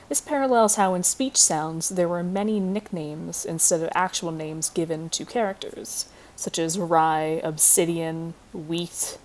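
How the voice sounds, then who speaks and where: A woman's voice: lowish voice, a little mellow, low-quality recording. A young adult woman giving a scripted class presentation as a student, recorded at a computer. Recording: faint background noise.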